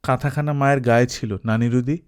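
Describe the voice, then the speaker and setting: A man voicing a grieving young man of twenty-one in an audiobook, speaking in a quiet, polite way. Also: mid-range voice, fairly even intonation, brisk, clear, resonant tone, natural voice. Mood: subdued.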